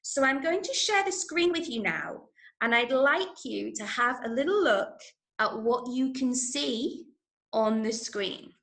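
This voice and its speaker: An English woman teaches children online in a fairly high voice. She speaks very slowly, with encouraging emphasis and careful explanation. The room around her is echoey. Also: expressive, a bit bright. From Manchester, England. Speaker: drama workshop teacher. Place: indoor room, online call.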